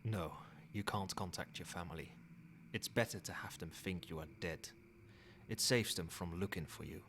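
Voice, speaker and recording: A man playing a possessive, controlling old vampire in a soft-spoken, measured ASMR roleplay. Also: sits low, background noise. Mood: stern, insistent. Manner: measured, formal, commanding.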